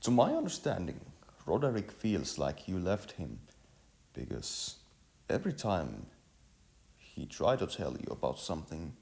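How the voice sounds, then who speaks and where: A man playing an earnest young village workman in a storybook, formal, deliberate and halting. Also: sits low, wildly swooping pitch, gravelly, darkish tone, exaggerated voice. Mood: earnest, explaining.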